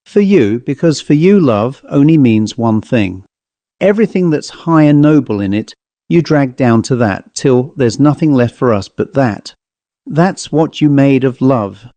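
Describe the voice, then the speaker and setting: A bitter, proud woman full of reproach, as a male narrator reads her lines. Her voice is mellow and sits dry and close to the mic. She accuses rather than pleads. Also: medium pitch, expressive, unhurried, dry recording.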